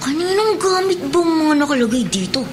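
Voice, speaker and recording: Young boy, polite, meek, very high pitch, Filipino radio drama, faint background noise. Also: very animated, normal speed. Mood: curious, delighted. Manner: polite, deferential, stammers when flustered. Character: young boy Mark, poor former snatcher, meek and eager.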